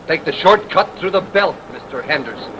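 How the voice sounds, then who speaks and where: An actor playing a jaded, defiant spaceship pilot in an old-time radio drama, blunt and sardonic. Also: male voice, fairly high pitch, animated, fairly quick, background noise, natural voice. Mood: wry, warning. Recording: distant vintage radio recording, droning background.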